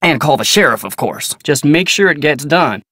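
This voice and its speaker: A man's voice: on the high side, animated, normal speed, pushed and bright, breathy edge, no echo, studio quality, heavily acted. An actor playing a guarded, polite American ranch foreman in a video game, folksy, clipped and matter-of-fact. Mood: concerned, firm. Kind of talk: naturalistic video game character dialogue.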